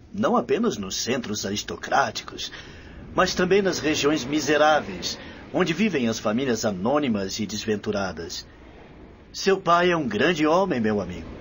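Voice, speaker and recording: An actor plays a courteous, admiring Roman gentleman in a radio drama. He is formal and emphatic, with lively swings of pitch over faint background noise. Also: lightly acted.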